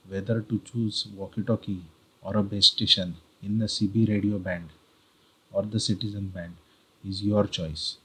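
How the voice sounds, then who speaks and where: A man with an Indian accent narrating an explanatory tutorial as host, deliberately, step by step in short phrases. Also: on the low side, bright, forceful tone, breathy edge, low-quality recording. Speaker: host of a how-to video channel.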